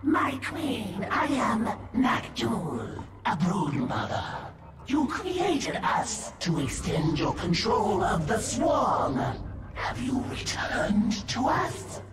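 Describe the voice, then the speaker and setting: A distant, echoing creature voice over loud music, a fierce and devoted insectoid brood mother from a video game. She declaims reverently in slow, hugely swinging phrases, with a monstrous layered effect. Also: medium pitch, huge pitch swings, very noisy.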